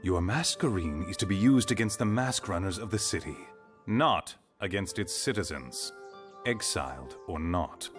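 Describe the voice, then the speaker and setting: An actor playing a stern, weary military commander in a video game, speaking in a formal, commanding, clipped way. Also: male voice, low-pitched, animated, moderate pace. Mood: stern, angry. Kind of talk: scripted video game acting. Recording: game music behind the voice. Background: music.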